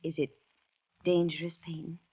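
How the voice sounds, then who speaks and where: A woman acting a gracious, anxious Southern plantation wife with a Southern American accent and husky voice in an old-time radio drama. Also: low voice, flat delivery, normal speed. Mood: worried, insistent. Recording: dull vintage radio recording.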